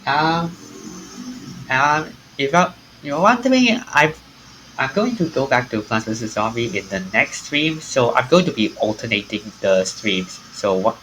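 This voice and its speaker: A man talks casually to a live stream as he hosts a game. His voice is bright and mid-pitched, and his delivery rambles and halts. Noise sits faintly behind the voice. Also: animated, measured pace, rough recording.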